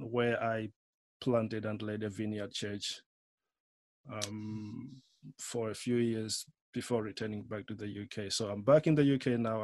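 A man's voice: lowish voice, no room sound. Malawian man, closely recorded and dry. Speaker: interview guest, theology lecturer. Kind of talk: conversational personal account.

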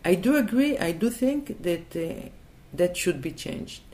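A woman's voice: on the low side, lively intonation, a little slow, a little mellow. A woman from Israel, answering in a thoughtful interview and hesitating and restarting as she looks for words. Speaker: interview guest.

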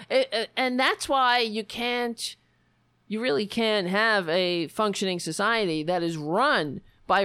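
A woman hosting her own political show, hoarse from losing her voice. She rants in a sarcastic, scattered way, with a slow, drawn-out pace. Her voice is recorded dry and close, with no room sound. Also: mid-range voice, fairly bright tone, no room sound. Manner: ranting, sarcastic, scattered asides. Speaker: political podcast host. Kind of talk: solo political commentary.

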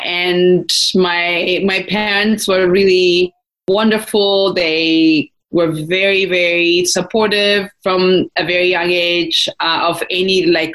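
A woman's voice: lowish voice, poor audio. A young adult woman from Ethiopia, a company founder, talking casually as an interview guest, thoughtful and full of fillers and restarts.

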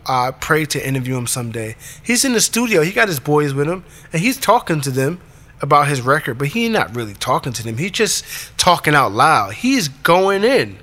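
A man with a raspy voice hosting his own show, delivering an emphatic motivational monologue in short punchy commands. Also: fairly high pitch, pushed and bright. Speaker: podcast host.

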